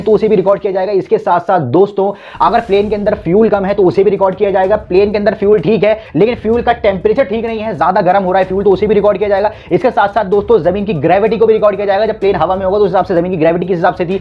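A man in his twenties explains things to viewers at a quick pace. He goes point by point, stressing and repeating as he goes. The recording is a little dull and lo-fi, with music playing underneath. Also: on the high side. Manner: repetitive, emphatic point-by-point explaining. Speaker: presenter of an explainer video. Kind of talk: educational explainer to camera. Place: indoors, small room with a plain wall. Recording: dull lo-fi sound with music behind. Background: background music.

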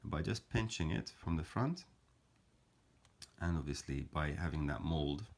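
A man calmly explaining a paper craft step by step, in a gentle, measured way with frequent fillers. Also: rumbling low. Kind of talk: calm step-by-step craft tutorial.

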